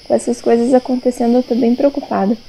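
An actress playing an anxious, gentle village girl in an audio drama, worried and questioning. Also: on the high side, fairly even intonation, fast talker, vocal fry, a little hiss or hum, low-quality recording.

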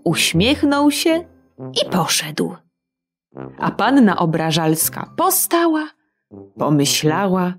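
A woman reads a children's tale slowly, her fairly low voice rising and falling in a lively way. Soft music runs underneath. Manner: expressive, slow storytelling. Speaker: storyteller. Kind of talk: audiobook reading for children.

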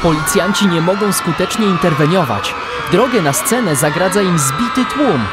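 A man's voice: high-pitched, animated, moderate pace, over a noisy crowd. A man reading dramatic scripted narration for a children's audio drama. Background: loud music.

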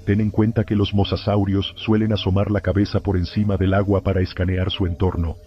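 A man playing a grave emergency broadcast announcer, narrating scripted horror warnings in a solemn, formal, measured way, through muffled lo-fi sound. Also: low-pitched, level tone, slight background noise, natural voice.

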